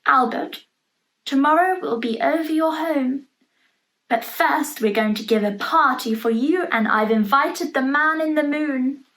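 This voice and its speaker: A kindly, regal cloud queen from a children's storybook, as a woman reader puts her on. She speaks in a very high voice and declaims deliberately, every inch the gracious ruler. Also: a bit bright, low-quality recording. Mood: kindly, resolved.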